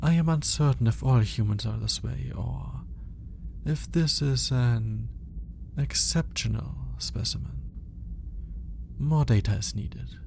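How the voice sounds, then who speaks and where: A man playing a cold, condescending alien scientist in an ASMR roleplay, speaking in a clinical, formal, deliberate way. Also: medium pitch, gravelly, darkish tone, a little hiss or hum. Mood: clinical, intrigued. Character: cold, aloof male alien scientist studying a human captive.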